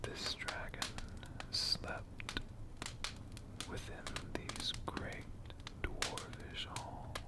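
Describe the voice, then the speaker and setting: Very slow whispering man with gravel. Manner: slow, hushed, playful asides to the listener. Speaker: ASMR creator in a friendly dragon persona. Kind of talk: whispered ASMR storytelling.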